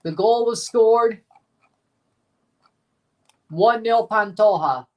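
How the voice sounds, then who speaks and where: A man's voice: sits high, very slow, recorded from a distance, muffled recording. A man with a Southern American accent chatting casually and rambling through a live match watchalong, with some mild swearing. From United States. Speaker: streamer.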